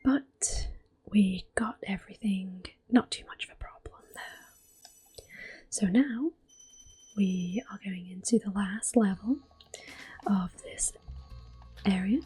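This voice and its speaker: Woman, ASMR game host: soft-spoken to whispered, slow and gentle, close mic, music behind. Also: mid-range voice, rough recording. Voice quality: soft, breathy, often whispered. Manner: gentle, unhurried. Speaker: gameplay host. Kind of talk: ASMR gaming commentary.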